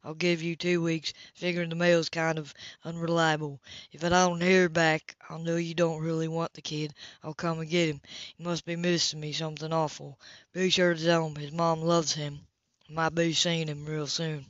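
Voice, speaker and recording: A woman's voice: deep voice, little pitch movement, normal speed, no echo, lightly acted. A woman reading a novel as a man who recites a sly, self-pitying letter. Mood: grim, restrained. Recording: dull lo-fi home recording.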